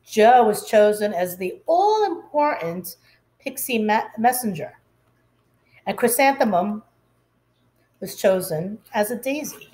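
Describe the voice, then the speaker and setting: A woman reading a picture book aloud as a schoolteacher, expressively and deliberately, putting questions to her young listeners. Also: mid-range voice, very animated, darkish tone, rough recording. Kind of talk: expressive children's storytime read-aloud.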